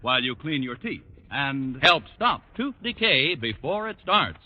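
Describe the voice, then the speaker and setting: A man's voice: medium pitch, lively intonation, unhurried. A man reading a commercial as a 1940s radio announcer. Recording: narrow-band, noisy old radio recording.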